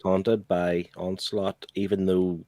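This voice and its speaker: A man's voice: low voice, flat delivery, takes it slowly, warm tone, dry recording. A man co-hosting a podcast, talking casually in a book club discussion. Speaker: podcast co-host.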